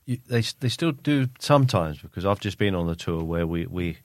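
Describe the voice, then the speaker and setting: A man's voice: deep voice, animated, a little fast, no echo. A man chatting casually as a podcast co-host who is also a musician. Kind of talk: casual chat.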